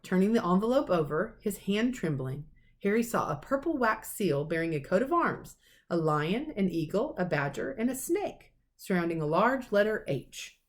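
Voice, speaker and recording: A woman reading a children's storybook aloud as a teacher, with expressive narration. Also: mid-range voice, normal speed. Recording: clearly noisy recording with clicks.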